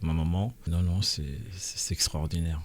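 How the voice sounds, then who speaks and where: Low-voiced chef in his thirties. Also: normal speed. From Congo. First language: Lingala. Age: 30s. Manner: relaxed, hesitant with frequent fillers, laughs often. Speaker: chef, podcast guest. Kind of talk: casual interview talk. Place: radio studio.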